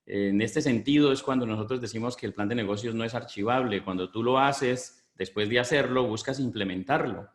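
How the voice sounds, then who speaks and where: A middle-aged Colombian man with a Colombian Spanish accent, giving a business webinar in a measured, explanatory way, often pausing on fillers. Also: medium pitch, reverberant. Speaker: business consultant presenting a webinar. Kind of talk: webinar presentation.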